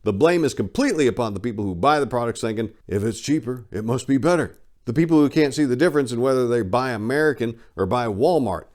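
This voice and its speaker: A man's voice: medium pitch, a little mellow. A man giving a dramatic reading of a satirical monologue in a sarcastic, opinionated, rhetorical manner. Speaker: narrator reading a book aloud.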